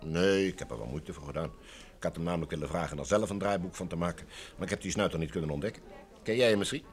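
A man's voice: low-pitched, fast, close to an everyday voice. An actor playing a breezy, worldly male film director in an old Dutch radio drama, sociable and slangy in his talk. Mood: breezy, keen.

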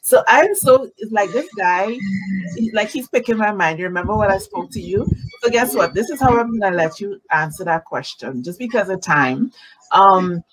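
A woman in her forties hosting a live-streamed interview from home over a video call, animated and greeting viewers by name. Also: medium pitch, normal speed, rough recording. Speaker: talk show host. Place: home room, indoors. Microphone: video call webcam.

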